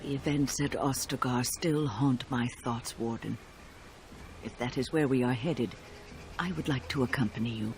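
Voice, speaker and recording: An actor playing a composed male warrior companion in a video game, speaking in a measured way. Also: medium pitch, slight background noise, close to an everyday voice. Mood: somber. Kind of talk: video game cutscene acting.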